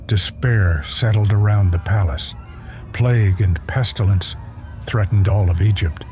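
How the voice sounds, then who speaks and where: Deep, slow, solemn man narrating drama. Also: warm tone. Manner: solemn, measured, theatrical. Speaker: narrator and voice actor. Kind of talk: scripted dramatic first-person narration. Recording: prominent music behind. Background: music.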